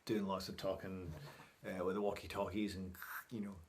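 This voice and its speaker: A middle-aged man with a fairly low voice recaps things for his vlog. He talks in a chatty, informal way, wandering from one thought to the next as if speaking to friends. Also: flat delivery, moderate pace, a little hiss or hum. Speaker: vlogger. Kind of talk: casual vlog recap to camera.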